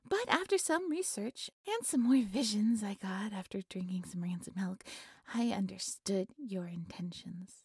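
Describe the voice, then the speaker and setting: A woman playing a giddy, devoted cultist who worships her captive, soft and breathy, often slipping into whispers, in a gushing, reverent audio roleplay monologue. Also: lowish voice, dry recording, clean studio sound.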